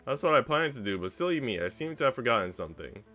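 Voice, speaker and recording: A man's voice: medium pitch, very animated, fast, bright, forceful tone, pitched above the performer's own voice. A man voicing a haughty woman, a senior housemaid who bullies with false sweetness in a theatrical, condescending way. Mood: mock-wounded. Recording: music behind the voice.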